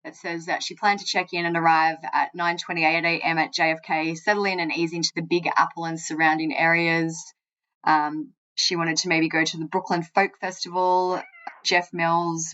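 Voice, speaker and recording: A woman with a fairly low voice hosts a true crime podcast. She moves between reading material aloud and informal, loosely phrased commentary. The recording is a little dull and muffled. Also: a bit bright.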